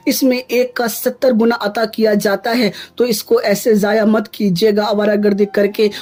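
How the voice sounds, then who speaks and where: High-pitched, even-toned man presenting. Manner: earnest, admonishing, addresses listeners as dear friends. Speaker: YouTube channel host. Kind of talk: scripted news commentary turning into religious exhortation.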